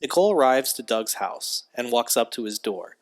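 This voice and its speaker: Man narrating over clear music. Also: medium pitch, animated, moderate pace. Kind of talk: scripted audio drama narration. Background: music bed.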